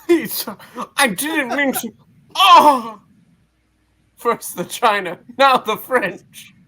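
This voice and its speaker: A woman's voice: a little high, expressive, slow, pushed and bright, full character voice. A woman voicing a meek, henpecked henchman, stammering and pleading in a deferential way, as a comic-book character. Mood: panicked pleading.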